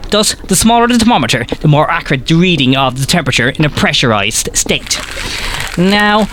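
A man plays an arrogant male science professor in a comic audio drama, his voice pitched fairly high. He lectures in wordy, sardonic sentences full of contempt for his students. There is faint noise on the recording. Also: a bit bright. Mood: sardonic lecturing.

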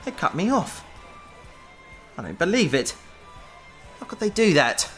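A man's voice: high-pitched, big pitch swings, unhurried, a bit bright, soft background music, exaggerated voice. A man playing an idealistic, rebellious young heir in a science fiction audio drama, speaking with earnest, pleading passion. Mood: wry, sociable.

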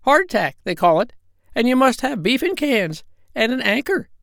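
A man doing a shrill bossy old sea parrot. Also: sing-song, vocal fry, a bit bright, no echo, clean studio sound, full character voice. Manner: brisk, know-it-all.

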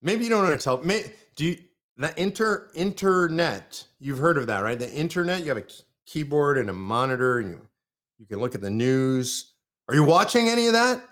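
A man's voice: a little high, very animated, brisk, fairly bright tone. An American man from California hosting a live political commentary show, ranting in heated, punchy bursts of repetition.

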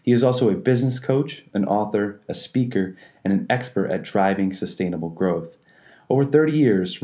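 A man's voice: low-pitched, warm tone. A man hosting an interview show, reading out a guest introduction and asking questions in an upbeat way. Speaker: podcast host. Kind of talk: reading an introduction, then chatting.

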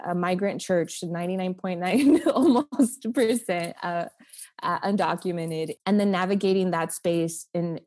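A woman's voice: lowish voice, a little mellow. A young woman from Oklahoma City, a campus pastor, talking earnestly and reflectively as a podcast guest, with many "like" and "um" fillers. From Oklahoma City, USA.

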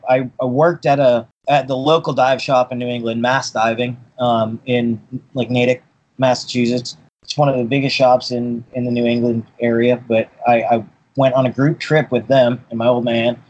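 A man's voice: medium pitch, little pitch movement, normal speed, muffled recording. A man who grew up in Boston, a dive boat captain, telling stories in an easygoing way as a guest on an interview. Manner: easygoing storyteller, repeats words as he thinks.

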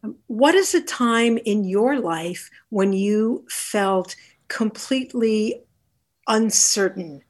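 A woman speaking as an interview guest who teaches philosophy, telling reflective stories in a measured, deliberate and articulate way. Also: medium pitch, lively intonation, a little mellow. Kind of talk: reflective interview conversation, storytelling.

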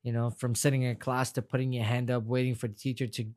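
A man's voice: medium pitch, level tone, fast talker, darkish tone. Rambling young man from Afghanistan. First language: Farsi. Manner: rambling, repeats words, heartfelt. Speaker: guest fighter. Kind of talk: casual interview chat.

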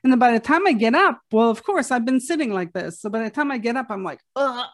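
A woman's voice: on the high side, expressive, quick pace. A woman in her fifties hosting a podcast, chatting openly about herself with frequent restarts. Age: 50s. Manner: chatty and self-revealing, with frequent restarts and laughs. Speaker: podcast host. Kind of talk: casual interview chat.

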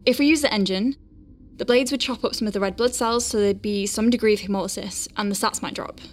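A woman's voice: medium pitch, fast talker, a little hiss or hum, close to an everyday voice. A woman playing an anxious but wry medic piloting a craft in a science fiction audio drama, thinking aloud in technical terms. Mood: focused, thoughtful.

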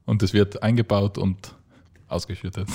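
A man's voice: on the low side, level tone, moderate pace, gravelly, warm tone. A young man with an Austrian accent, co-hosting a casual podcast chat in an easygoing, teasing way. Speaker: podcast co-host.

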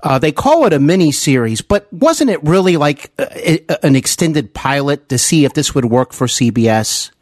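A man hosting a podcast interview, asking meandering, slightly halting questions in a conversational style. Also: a little high, sing-song, no room sound, studio quality.

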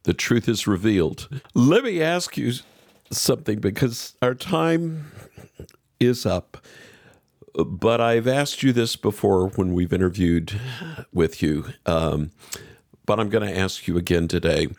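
A man's voice: lowish voice, lively intonation, unhurried, a little mellow, no echo, studio quality. A man hosting a podcast, interviewing and addressing listeners in a conversational style. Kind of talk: conversational interviewing and show sign-off.

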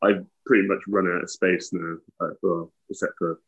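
A man's voice: low voice, level tone, normal speed, husky, muffled recording. A man from the Welsh border with a Midlands English accent, a podcast guest talking casually and self-deprecatingly with many fillers. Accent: Herefordshire, West Midlands English. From England, Welsh border near Hereford. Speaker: podcast guest, tattoo artist.

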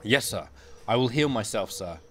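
A man's voice: fairly low pitch, lively intonation, moderate pace, fairly bright tone. A man voicing a young male soldier who is earnest and fanatical, with a stammering, insistent way of speaking.

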